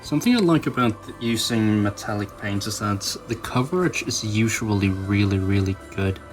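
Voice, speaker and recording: A man's voice: lowish voice, animated, normal speed, faint music behind. A man giving informal, self-deprecating hobby commentary, thinking aloud as he works through a craft project. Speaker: toy customizer, channel host. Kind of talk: casual rambling hobby commentary while painting.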